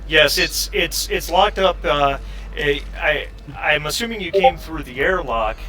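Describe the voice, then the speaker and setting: A man running a tabletop role-playing game as game master, explaining in a halting, deliberate way. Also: fairly high pitch, expressive, pushed and bright.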